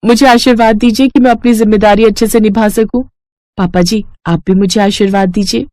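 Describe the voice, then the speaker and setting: A woman's voice: mid-range voice, fairly even intonation, fairly quick, dark tone, no room sound, pitched above the performer's own voice, close to an everyday voice. A woman playing a humble, devout young bride with a polite and earnest way of speaking.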